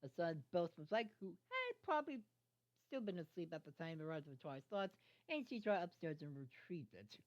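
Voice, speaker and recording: An amateur reader narrating a fan fiction story aloud in a halting way, stumbling over words and repeating phrases. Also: mid-range voice, big pitch swings. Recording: dull lo-fi microphone sound.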